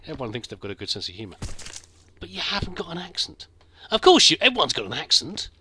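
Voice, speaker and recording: A middle-aged British man with a soft accent, telling a rambling, self-deprecating story over gameplay, full of stops and restarts. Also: fairly high pitch, wildly swooping pitch, quick pace, slight background noise. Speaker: gameplay commentator.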